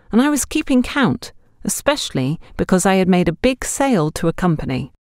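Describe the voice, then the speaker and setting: A woman's voice: a little high, a little mellow, dry recording, clean studio sound, voice pushed up. A woman voicing a chatty, worldly young shop girl who confides gossip with dramatic flair.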